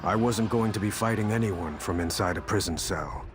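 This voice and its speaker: An actor playing a weary but resolute samurai exile, narrating gravely and reflectively in a video game. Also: male voice, low voice, normal speed, very noisy, lightly acted. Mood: brooding resolve.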